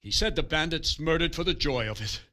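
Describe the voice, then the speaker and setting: A soldierly guard captain in a game. His voice is fairly high and forceful. He reports with formal urgency. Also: animated, a little fast. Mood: grave, urgent. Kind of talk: video game cutscene acting.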